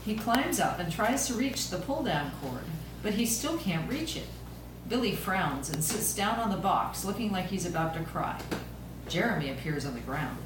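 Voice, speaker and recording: A woman's voice: mid-range voice, big pitch swings, normal speed. A woman reading scripted stage narration at an amateur table read. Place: echoey room, recorded from a distance. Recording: very echoey room, distant mic, clear noise.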